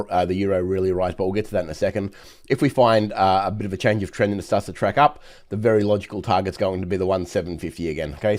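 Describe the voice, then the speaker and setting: A hoarse man who has nearly lost his voice, giving brisk trading chart commentary with many fillers. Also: low voice, darkish tone. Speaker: market analyst host.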